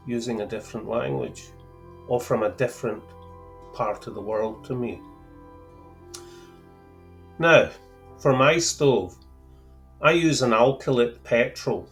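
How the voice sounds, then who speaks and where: A man with a Scottish accent explaining fuel terms to camera in a careful, deliberate way, with a lightly wry touch. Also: mid-range voice. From Scotland. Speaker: outdoor gear channel host. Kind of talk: measured explanatory talk to camera.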